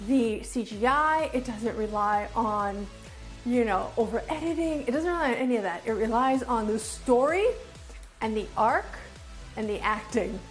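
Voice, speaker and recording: A woman reviewing casually and off the cuff as a video host, enthusiastic and emphatic, often repeating phrases to drive them home. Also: fairly high pitch. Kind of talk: casual unscripted review to camera.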